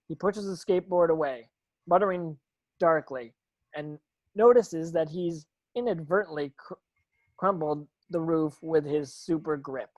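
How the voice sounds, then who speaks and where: A woman's voice: low voice, no room sound, low-quality recording. A woman reading script stage directions as narration, with occasional stumbles over the words.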